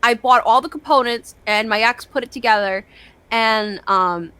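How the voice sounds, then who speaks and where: Young woman, sharp forward tone, highish pitch, casual chat, sweary, animated storyteller. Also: moderate pace, smooth. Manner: rambling, sweary, excitable, acts out dialogue. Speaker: online show host.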